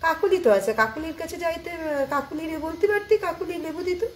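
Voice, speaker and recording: A woman's voice: very high-pitched, brisk, roomy sound, a little hiss or hum, voice pushed up. A woman storyteller voicing a village grandmother who speaks an in a homely, questioning way. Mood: questioning, puzzled.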